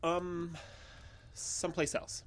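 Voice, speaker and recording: An actress playing a guarded, evasive wounded character who hides the truth with hesitant speech in an audio drama. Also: female voice, on the low side, little pitch movement, slow, slight background noise. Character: guarded, evasive wounded alien passing as human. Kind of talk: naturalistic audio drama acting.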